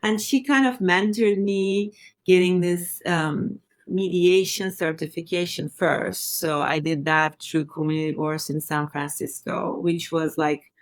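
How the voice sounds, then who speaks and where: Guest role: woman, 40s, from Turkey, lowish voice, dry close sound, reflective and chatty. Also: steady tone, unhurried, no echo. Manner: thoughtful, many fillers, laughs easily. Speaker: scientist and interview guest. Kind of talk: casual interview conversation.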